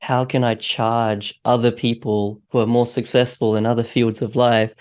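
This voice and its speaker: A man's voice: mid-range voice, flat delivery, moderate pace, a little mellow, clean tone. A man hosting a casual interview, talking with a friendly, slightly hesitant manner. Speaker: podcast host.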